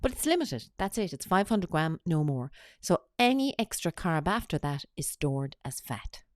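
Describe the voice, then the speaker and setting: A woman talks through a slide presentation. She has a cold and explains each point in a steady, teacherly way. The sound is close and dry, with no room around it. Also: deep voice, moderate pace, no room sound. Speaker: weight-loss coach.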